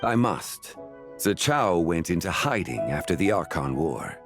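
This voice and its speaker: A man playing a calm, learned ancient sage in a fantasy video game, speaking formally and deliberately in measured phrases. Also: over music. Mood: composed, measured. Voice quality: deep, measured. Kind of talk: scripted video game dialogue.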